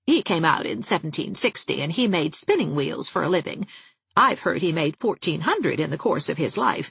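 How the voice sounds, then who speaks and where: A woman's voice: low-pitched, big pitch swings, normal speed, gravelly, slightly rough, dry recording, phone-quality sound, exaggerated voice. A woman voicing a ladylike small-town spinster dressmaker in an audiobook, chatting genteelly with relish for grim family gossip. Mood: proud, chatty. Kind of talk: acted audiobook dialogue.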